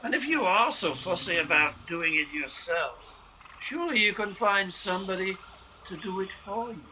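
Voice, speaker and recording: A man playing a sinister, coldly sardonic saboteur boss in a radio play, speaking with deliberate condescension and menace. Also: high voice, animated. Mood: coolly menacing. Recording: streamed recording with faint noise.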